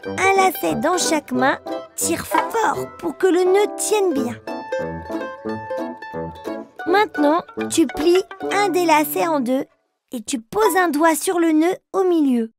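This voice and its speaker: A very high, childlike voice for a proud little boy bear cub in a children's cartoon. He talks in simple, eager phrases at an unhurried pace, like a small child explaining step by step. Children's music plays clearly behind him. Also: full character voice. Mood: chatty, instructive. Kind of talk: children's cartoon, instructive nursery narration.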